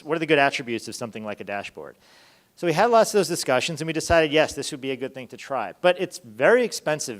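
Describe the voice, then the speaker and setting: A man's voice: mid-range voice, a bit bright. A man presenting an informal conference talk as a research lead, conversational, often checking in with rhetorical questions.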